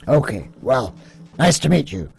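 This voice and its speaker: A man's voice: medium pitch, sing-song, a little slow, creaky, darkish tone, faint music behind. A man playing an eager, wry toy-soldier field agent with chummy patter in an amateur comic dub. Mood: politely dismissive.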